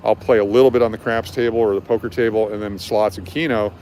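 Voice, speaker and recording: A man's voice: lowish voice, steady tone, quick pace, a little mellow. Man guiding a walk on a noisy street. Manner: informal, explanatory. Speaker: livestream host and street tour guide. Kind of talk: casual live walking-tour commentary. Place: outdoors on city streets at night. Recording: noisy outdoor city street with traffic.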